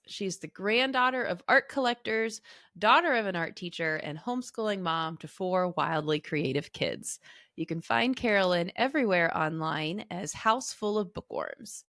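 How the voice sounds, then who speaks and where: A woman's voice: mid-range voice, a bit bright, no room sound, clean studio sound. A woman co-hosting a podcast in a friendly, conversational style. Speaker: podcast co-host.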